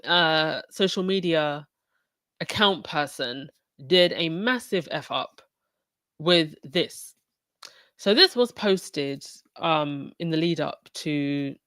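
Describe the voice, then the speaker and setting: A woman's voice: deep voice, fairly bright tone, no echo. A woman from England hosting a casual podcast, sounding sniffly from a cold and chatting loosely with many fillers and restarts. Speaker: podcast host. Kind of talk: casual commentary on a livestreamed podcast.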